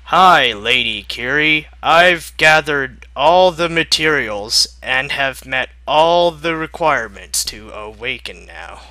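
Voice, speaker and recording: A man's voice: medium pitch, slow pace, hardly any pauses, no echo. Man voicing an eager young mechanic. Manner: earnest, excited.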